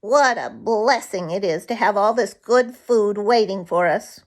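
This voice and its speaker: Storybook nuthatch, played by a woman: famished, grateful little bird, raised pitch, earnest fretful emphasis. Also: lively intonation, measured pace. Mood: earnest, relieved. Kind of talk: children's storybook character voice.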